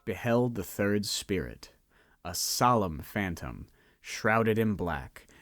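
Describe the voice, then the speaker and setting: A man narrating a radio drama in an expressive storytelling manner. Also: on the low side, slow, faint music behind. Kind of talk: scripted narration.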